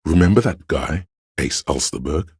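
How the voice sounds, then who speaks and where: A man voicing a wry, gossiping police officer in a video game, with a gravelly voice and a casual, sardonic way of talking. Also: very deep voice, expressive, normal speed, a little mellow, no echo, clean studio sound, exaggerated voice. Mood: nudging. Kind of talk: dramatic game dialogue.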